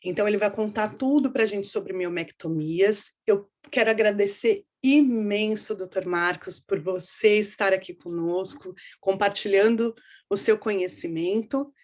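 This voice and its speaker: A woman's voice: mid-range voice, measured pace, darkish tone. A woman from São Paulo with a paulista accent, hosting an online event and introducing a guest in a chatty, friendly way over a video call. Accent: São Paulo Portuguese. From São Paulo, Brazil. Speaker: host of a support group event.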